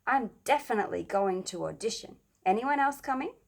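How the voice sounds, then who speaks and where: A woman voicing an excitable, bossy schoolgirl in a children's storybook, emphatic and dramatic. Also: fairly high pitch, fairly quick, creaky. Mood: eager.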